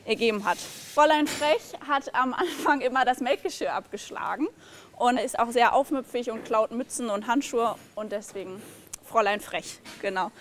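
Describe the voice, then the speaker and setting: A woman's voice: a little high, a little hiss or hum. Chatty young woman on a farm. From Germany. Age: 20s. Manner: lively, chatty, explains things quickly. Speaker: physiotherapist helping on family farm. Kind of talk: documentary interview and walk-and-talk. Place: outdoors on a farm, in a barnyard and pasture.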